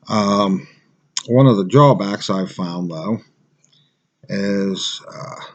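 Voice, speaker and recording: A man giving a casual product review in a plain-spoken, halting, conversational way. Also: lowish voice, highs cut off.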